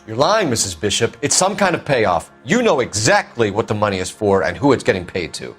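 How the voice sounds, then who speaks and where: An actor playing a stern, formal 1940s police detective with a blunt, accusatory manner in a crime game. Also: male voice, lowish voice, big pitch swings, brisk, breathy edge, faint music behind. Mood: accusing.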